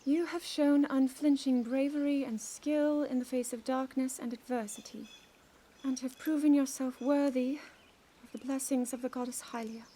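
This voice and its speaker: A woman playing a solemn young princess in a video game cutscene, formal and measured, as if reciting a ceremonial blessing. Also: sits high, steady tone, warm tone. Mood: solemn, reverent.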